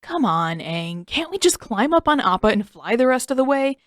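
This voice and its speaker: Exasperated teenage boy, played by a woman reader, sarcastic, whiny, lively swooping pitch, dry close sound, storybook character. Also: normal speed, dry recording. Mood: grumbling.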